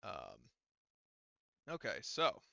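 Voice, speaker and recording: A man narrating a tabletop game as game master, casually and haltingly, with informal, sweary phrasing. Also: fairly low pitch, huge pitch swings, gravelly, bright, forceful tone, dry recording, dull audio.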